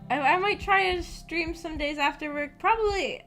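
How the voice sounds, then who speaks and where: A woman's voice: extremely high pitch, normal speed, bright, forceful tone. A young adult woman streamer chatting casually with her viewers in a shy, rambling, hesitant way. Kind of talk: casual chat with livestream viewers. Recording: music bed under the voice. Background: background music.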